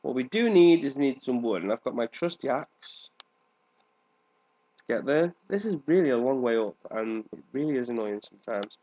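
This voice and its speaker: A man's voice: mid-range voice, expressive, moderate pace, warm tone. A man with a congested, cold-affected voice giving casual, rambling let's play commentary as the host of a gaming video. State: congested with a cold, coughing now and then. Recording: dull, lo-fi microphone sound.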